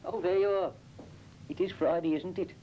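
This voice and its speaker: A man's voice: high voice, fairly even intonation, normal speed, lo-fi sound, natural voice. A man playing a busy, grumbling shot firer in a coal mine training film, chatting in an offhand, matey working way. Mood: brisk, grumbling. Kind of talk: acted training film dialogue. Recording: noisy old training film soundtrack.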